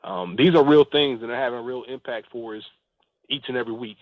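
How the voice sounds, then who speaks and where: A man's voice: mid-range voice, brisk, no echo, poor audio. A man speaking an earnest, appreciative thank-you as a guest leaving a voice note. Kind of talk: heartfelt spoken thank-you message.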